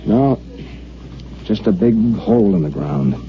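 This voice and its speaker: An actor playing an excitable, reluctant male newspaper reporter with slangy, ungrammatical speech in an old radio drama. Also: low-pitched, unhurried, vocal fry, exaggerated voice. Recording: muffled, noisy old-time radio recording.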